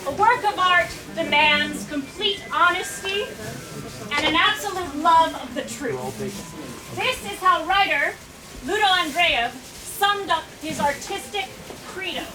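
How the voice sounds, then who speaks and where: A woman's voice: very high voice, measured pace, a crowd in the background. A woman playing an eager, courteous presenter in a staged reading, speaking in a formal, declamatory way. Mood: solemn, reverent. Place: indoor room at a staged reading. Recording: roomy, distant mic, noisy live hall recording.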